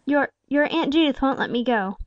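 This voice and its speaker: A woman voicing a gentle, anxious and helpless aunt in a storybook, fretful and faltering. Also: very high voice, lively intonation, fairly quick, no room sound, muffled recording, voice pushed up. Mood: helpless, hesitant. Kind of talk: storybook character dialogue.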